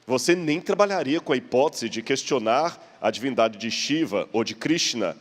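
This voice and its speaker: A man's voice: mid-range voice, expressive, moderate pace. Brazilian guy preaching, explains stuff with questions, stammers a bit, big echoey church hall. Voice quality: slight stammer. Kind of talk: sermon with rhetorical questions. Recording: long hall reverb.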